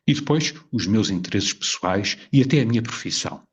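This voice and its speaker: A man from Portugal with a European Portuguese accent, presenting a measured, reflective spoken essay and often posing rhetorical questions to the viewer. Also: on the low side, lively intonation, breathy edge. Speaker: video presenter.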